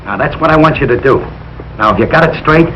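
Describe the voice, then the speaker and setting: An actor playing a blustering, good-hearted Brooklyn working-class husband, blunt and slangy, in old broadcast sitcom style. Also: male voice, mid-range voice, animated, fast, fairly bright tone, dull audio, soft background music, natural voice. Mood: confiding, determined. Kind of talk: 1940s-50s broadcast sitcom acting. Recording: noisy old broadcast recording.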